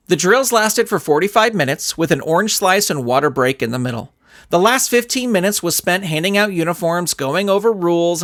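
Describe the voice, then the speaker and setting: A man's voice: sits high, a bit bright. A man narrating a scripted story as an audiobook reader. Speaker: narrator and author.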